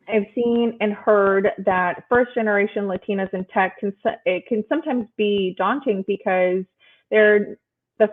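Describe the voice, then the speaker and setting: A woman moderating a panel, speaking in a prepared, presentational way over an online call. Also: medium pitch. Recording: narrow phone-like call audio.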